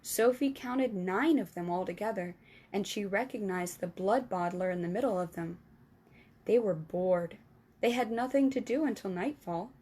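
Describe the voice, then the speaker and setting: Woman storytime host reading a children's book aloud, calm and clear. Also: medium pitch, moderate pace. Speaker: storytime reader.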